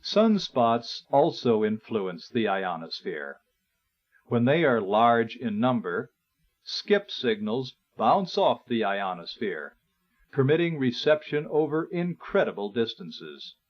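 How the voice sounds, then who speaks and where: A man reading a scripted instructional narration in a measured, explanatory way. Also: mid-range voice, very animated. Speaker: narrator and presenter. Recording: dull, lo-fi 1980s recording.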